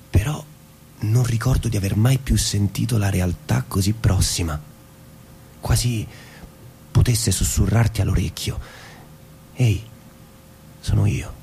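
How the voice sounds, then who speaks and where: An actor playing a restless, disillusioned American husband in theatrical stage-drama style, intense and bitter. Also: male voice, on the low side, gravelly, dark tone, slight background noise. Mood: reflective, intimate. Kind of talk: stage-drama acting with literary monologues. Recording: music behind the voice.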